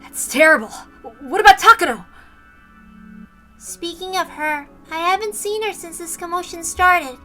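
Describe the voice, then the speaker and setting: A woman's voice: very high voice, animated, normal speed, sharp tone, soft background music, pitched above the performer's own voice, exaggerated voice. A woman voicing an exhausted, earnest male captive in a visual novel, questioning urgently. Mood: alarmed.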